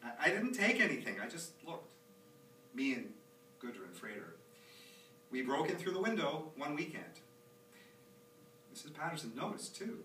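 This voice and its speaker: A man's voice: on the high side, sing-song, normal speed, pauses often, sharp tone. A man performing a theatrical monologue as an anxious, chatty, nostalgic young dad, rambling expressively in a live reading. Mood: fond, nostalgic. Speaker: author performing own monologue. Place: small theatre stage, recorded live from a distance. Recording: roomy, distant, noisy live theatre recording.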